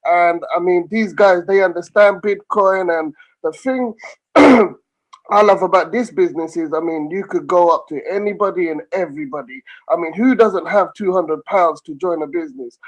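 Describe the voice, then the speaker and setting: A man's voice: high-pitched, normal speed, poor audio. A man in his thirties, tired from little sleep, giving an eager, effusive testimonial over a video call from a small room. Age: 30s. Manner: eager, effusive, repeats himself. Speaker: call participant.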